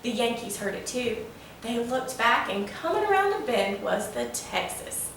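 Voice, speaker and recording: Lady playing an old train engine bragging about his big chase, folksy storybook telling, bright voice, really echoey room. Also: a little high, sing-song, unhurried, heavily acted. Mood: folksy, excited. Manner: folksy, boastful, spinning a yarn. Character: proud old male steam locomotive, folksy Southern storyteller. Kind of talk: children's storybook read-aloud. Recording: roomy, echoing, distant mic with faint noise.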